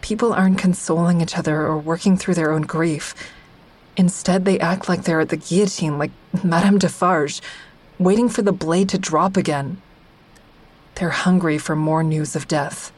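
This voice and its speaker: A woman's voice: fairly low pitch, fairly even intonation, normal speed, a little mellow, natural voice. A woman acting as the wry, observant first-person narrator of an audio drama, a member of a support group. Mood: wry, uneasy. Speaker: narrator and character.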